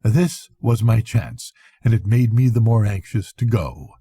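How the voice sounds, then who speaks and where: An older man, a grandfather, reading a literary bedtime story aloud in an unhurried, conversational storytelling manner with a husky voice. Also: on the low side, animated, warm tone, dry recording, studio quality. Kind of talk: expressive literary audiobook reading.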